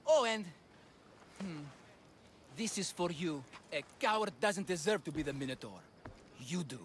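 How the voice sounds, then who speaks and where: A man's voice: high voice, expressive, a little slow, a little hiss or hum. An actor playing a sheepish, blustering father in a video game, a theatrical showman with a cowardly streak. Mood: humbled, sincere. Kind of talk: video game cutscene acting.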